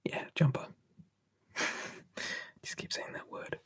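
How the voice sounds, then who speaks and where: An ASMR streamer, softly whispering and musing while answering live chat on a close mic. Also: slow pace. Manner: soft, drifting between whisper and quiet voice, musing.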